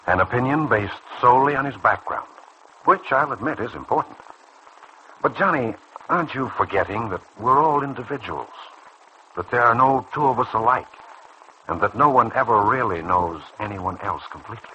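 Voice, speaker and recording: A man acting in a vintage radio soap as a calm, earnest doctor who probes and persuades in a measured way. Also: medium pitch, highs cut off. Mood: persuasive, reassuring. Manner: measured, probing, earnest delivery. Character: calm, earnest, persuasive male doctor counselling a younger colleague. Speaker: radio serial actor. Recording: noisy old radio drama recording.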